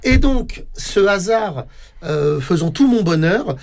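A man being interviewed on the radio about his theatre company, talking haltingly with many fillers and repeated words. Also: sits high, expressive, creaky. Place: radio studio.

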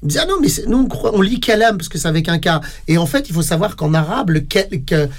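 Guy on a radio show, kinda high voice, stumbles over words a lot, tons of euhs. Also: expressive, quick pace. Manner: hesitant, many repeated words and fillers. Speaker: radio guest, theatre company director. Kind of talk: casual radio interview. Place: radio studio.

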